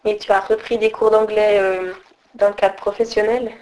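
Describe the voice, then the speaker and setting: A woman asks short, prompting questions in an interview. Her pitch stays flat and even, at a middle height. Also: normal speed, rough recording. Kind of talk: oral history interview.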